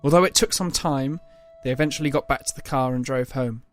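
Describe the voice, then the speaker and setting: A man's voice: medium pitch, brisk, soft background music. A man reading scripted, dramatic story narration as the narrator.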